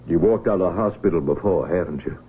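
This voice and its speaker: An actor playing a persuasive, probing army doctor in a 1970s radio drama. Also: male voice, low-pitched, fast talker, breathy edge. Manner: persuasive, questioning. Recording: muffled, slightly noisy old radio recording.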